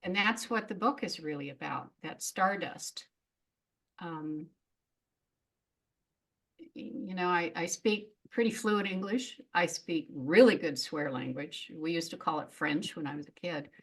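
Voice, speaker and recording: A woman's voice: on the low side, measured pace. A middle-aged woman speaking as a guest in a casual interview, frank and reflective, often laughing at herself. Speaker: memoirist guest.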